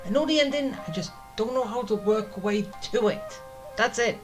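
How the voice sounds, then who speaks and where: A game streamer giving casual commentary in a halting, self-deprecating way. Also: mid-range voice. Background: game music.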